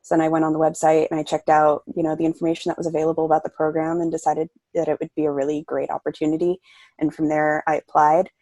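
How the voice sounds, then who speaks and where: A man's voice: a little high, completely flat delivery, fast, a little mellow, no echo. A young man from Rhode Island, a student panelist speaking earnestly and casually on a video call. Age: young adult.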